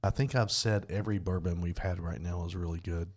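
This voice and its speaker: A Southern US man with a very low, deep voice, chatting easily as a podcast co-host. His talk is laid-back and drawling, with the soothing tone he says listeners fall asleep to. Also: steady tone, brisk, darkish tone. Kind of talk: casual chat over bourbon.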